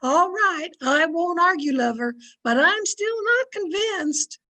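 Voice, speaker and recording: Actress as sensible radio-comedy wife, extremely high-pitched, patient and fond, gently corrects with pet names. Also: female voice, animated, slow pace, heavily acted. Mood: affectionate. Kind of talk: 1940s radio comedy acting.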